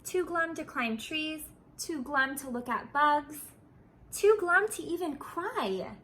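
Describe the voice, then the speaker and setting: Female storybook reader, bright and unhurried, expressive sing-song delivery for children. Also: high-pitched.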